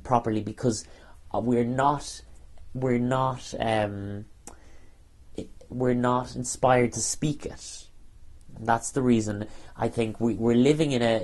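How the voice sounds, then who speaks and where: A young man with a Dublin Irish accent speaking earnestly off the cuff, halting and often repeating phrases as he goes. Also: medium pitch, darkish tone. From Ireland. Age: young adult. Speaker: interviewee, university student. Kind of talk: unscripted personal talk.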